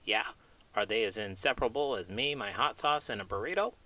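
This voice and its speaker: A man's voice: on the low side, a little fast, sharp tone. A man voicing a cheeky, boastful pig obsessed with food, wisecracking in a comic children's read-aloud skit. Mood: boastful, playful. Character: cheeky hot-sauce-obsessed pet pig, brashly confident.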